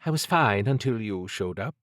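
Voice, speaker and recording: A man's voice: lowish voice, animated, normal speed, a bit bright, no echo. A guarded, sardonic woman agent in an audiobook, as a male narrator reads her. The lines are curt and needling, with a close, dry sound.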